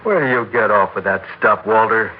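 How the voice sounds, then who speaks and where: A man's voice: fairly low pitch, moderate pace, natural voice. An actor in a 1960s radio drama playing a cornered man who blusters in alarm. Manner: blustering, agitated. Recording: thin phone-like radio recording.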